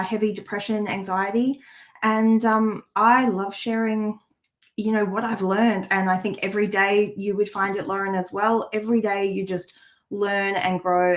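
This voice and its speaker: A woman who works as a Reiki master and crystal healer, talking in a soft, gentle, easygoing way with many fillers. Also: medium pitch. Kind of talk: casual chat.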